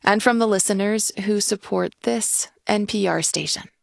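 A woman reading scripted sponsor messages in a smooth promotional manner. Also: mid-range voice, normal speed, no echo, clean studio sound. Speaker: sponsor announcer.

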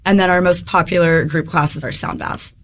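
A woman interview guest, a little hoarse from a head cold, explaining things conversationally. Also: fairly low pitch, fairly even intonation, moderate pace. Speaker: interview guest, wellness business owner.

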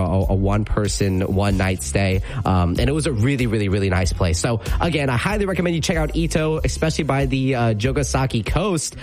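A man's voice: mid-range voice, a little mellow. Chatty young male radio host. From California. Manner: chatty, rambling, repeats words. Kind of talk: casual morning radio talk.

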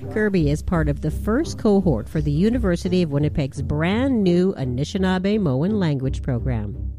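A woman hosting a radio show, narrating a scripted feature in a measured, deliberate way. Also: on the low side, animated, over music.

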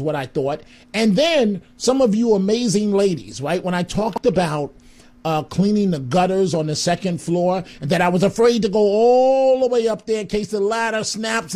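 High-voiced male radio talk host. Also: a little mellow. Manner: friendly, exclamatory, rambling asides.

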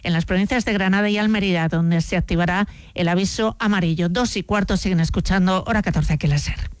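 A woman's voice: fairly low pitch, fast, clean tone. A woman with a Spanish accent from Spain presenting a scripted radio news bulletin.

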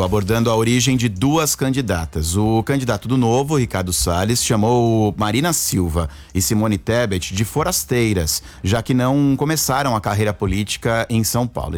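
A man narrating a news report in a measured, scripted way. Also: fairly low pitch, no echo.

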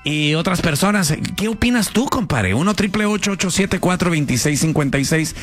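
A man hosts a radio talk show, chatting casually and throwing in slang with callers. His voice runs a little high, and faint music plays behind him. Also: expressive, a little fast. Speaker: radio host.

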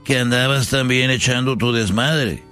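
A man playing a parody Mexican ranchera star, a stubborn, defensive younger brother who talks haltingly in a Mexican accent. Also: medium pitch, bright, forceful tone, lightly acted. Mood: stubborn, indignant. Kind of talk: comedic impression in a sketch. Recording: music playing behind the voice.

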